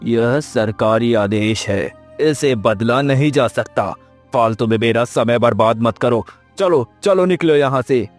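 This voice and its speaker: A man's voice: medium pitch, unhurried, soft background music, close to an everyday voice. A man playing an emphatic village character in a moral-story cartoon. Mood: curt, dismissive. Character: earnest farmer and curt dismissive official in a cartoon.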